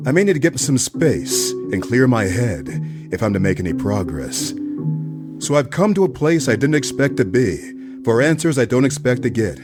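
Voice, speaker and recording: A man playing a dogged, wordy private detective with theatrical hardboiled delivery in a comedic noir radio play. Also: on the low side. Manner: theatrical, hardboiled first-person narration and probing questions.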